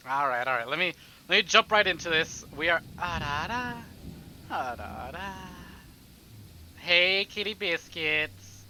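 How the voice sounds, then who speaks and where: A man's voice: a little high, animated, unhurried, sharp tone, a little hiss or hum. A man hosting a casual livestream, chatting to viewers in a playful, rambling, exclamatory way and often repeating himself. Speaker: livestream host, gamer.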